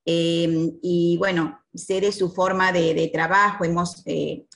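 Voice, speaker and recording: A woman's voice: fairly low pitch, little pitch movement, takes it slowly, creaky, a bit bright. A woman with an Argentine accent asking a question on a video call, searching for words with fillers. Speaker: conference attendee asking a question.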